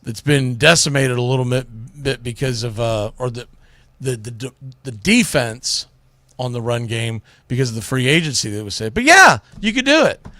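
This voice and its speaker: A man's voice: mid-range voice, lively intonation, moderate pace, pushed and bright, no room sound. A man hosting sports talk radio, giving blunt, exasperated, folksy opinions into a close microphone. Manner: exasperated ranting, blunt, mildly salty, folksy.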